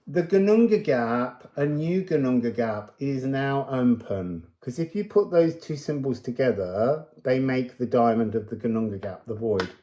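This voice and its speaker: A man's voice: medium pitch, animated, normal speed, hardly any pauses, mellow, reverberant. A man giving an unscripted spiritual card reading, musing and meandering as he drifts between thoughts. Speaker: oracle card reader and host.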